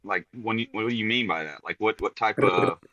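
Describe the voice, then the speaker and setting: A man hosting a podcast, asking halting, searching questions in a casual chat. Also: fairly low pitch, dull audio, a loud crowd. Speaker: podcast host.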